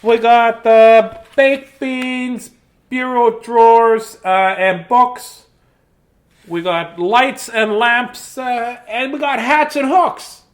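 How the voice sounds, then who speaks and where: A man puts on a cheery little male mouse from a children's picture book. The voice sits high and bright for a man, full of sing-song patter as it lists everything in the house in rhyme, eager and chatty like a tour guide. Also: fairly even intonation, very slow, full character voice. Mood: chirpy. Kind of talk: children's storybook read-aloud.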